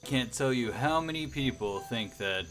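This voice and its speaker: A man's voice: mid-range voice, animated, a little slow, fairly bright tone, faint music behind, rough recording. A man hosting a radio-style livestream, chatting casually and enthusiastically to viewers in a rambling way.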